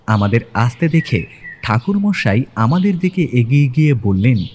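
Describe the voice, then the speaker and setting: A man's voice: mid-range voice, very animated, normal speed, a little mellow, slight background noise. A man narrating a scripted detective story in a dramatic storytelling style.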